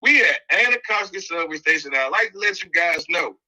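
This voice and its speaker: A man with a Washington, D.C. accent hosting a podcast, telling a personal story casually in animated, slangy talk, repeating phrases for emphasis. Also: high-pitched, fairly quick, rough recording. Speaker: podcast host.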